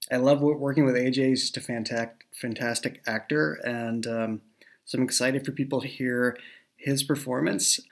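A man's voice: medium pitch, vocal fry, a bit bright. A man talking casually and with enthusiasm as a show creator on a podcast. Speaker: audio drama creator and writer.

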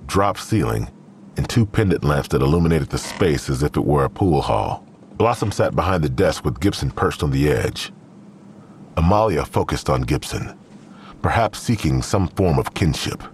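Really deep voiced guy narrating an audio drama, grave but lots of pitch movement. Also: sing-song, a little slow, slight background noise.

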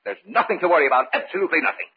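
A man's voice: on the high side, animated, rapid-fire, loud music behind the voice, close to an everyday voice. A man playing a confident, ambitious research doctor in an old-time radio horror drama, insistent and declamatory. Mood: insistent, dismissive. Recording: dull vintage radio recording.

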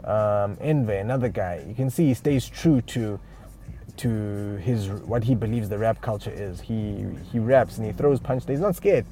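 A man's voice: fairly low pitch, normal speed, mellow. A man from Uganda, giving casual unscripted advice on music careers with lots of fillers and restarts. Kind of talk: casual spoken advice monologue.

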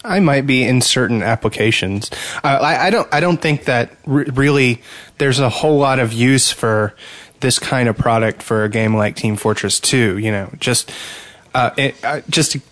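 A man's voice: mid-range voice, normal speed, a bit bright, dry recording. A man co-hosting a casual gaming podcast, wry and teasing, with a slightly pedantic streak. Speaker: podcast co-host.

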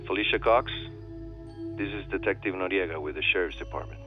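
A grave male detective calling by phone in audio fiction. His voice is fairly low and dulled by the line. He is halting and guarded, with music behind him. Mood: grave, uneasy. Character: hesitant, grave sheriff's detective, adult male. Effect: phone call filter. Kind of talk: audio drama acting. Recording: muffled phone call over music.

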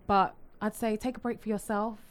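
A woman's voice: medium pitch, fairly even intonation, normal speed, clean tone. A woman co-hosting a casual podcast, giving frank advice drawn from her own experience. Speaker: podcast co-host. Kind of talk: casual chat.

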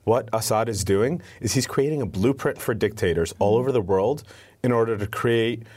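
A man's voice: medium pitch, moderate pace, a little mellow. A man speaking as a guest spokesperson in an interview, answering earnestly and repeating words as he starts each thought. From United States. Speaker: advocacy spokesperson.

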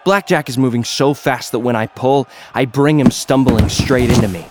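A man's voice: mid-range voice, moderate pace, darkish tone, a little hiss or hum, natural voice. An actor playing a battered young gladiator influencer, narrating his own story in a terse, dramatic, grimly intense way. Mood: grim, tense. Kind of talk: first-person audio fiction narration. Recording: prominent music under the voice.

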